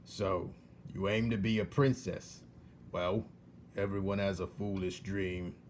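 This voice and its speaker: This is a man acting an old storybook jailer, gruff and solemn. He grumbles in a low voice at a very slow, weighty pace, with faint noise behind him. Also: fairly even intonation. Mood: grave warning. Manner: speaks of himself in third person, grave.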